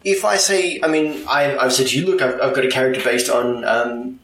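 A man's voice: medium pitch, steady tone, fairly quick, fairly bright tone, far from the mic. A man hosting a casual chat, talking loosely and poking fun at himself, with plenty of fillers. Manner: loose, self-deprecating, lots of fillers. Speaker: podcast host. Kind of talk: casual conversation. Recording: echoey room, away from the mic.

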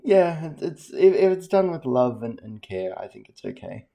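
Mellow-voiced man hosting an interview. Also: on the high side, big pitch swings, moderate pace, vocal fry. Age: 40s. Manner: enthusiastic, stumbling over repeats. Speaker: interview host. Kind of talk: casual interview chat.

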